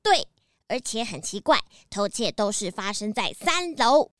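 Woman doing polite sheep manager lady, bleaty, high clean voice, kids audio drama, gets flustered. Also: sing-song, unhurried, a bit bright, no echo, studio quality. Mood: polite, eager. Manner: bleats before speaking. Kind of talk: children's detective audio drama acting.

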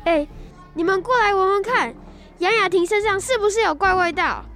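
A man's voice: extremely high pitch, normal speed, pushed and bright, faint music behind, heavily acted. A young boy playing a teasing, rude schoolboy classmate in a radio drama. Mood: mocking. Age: child.

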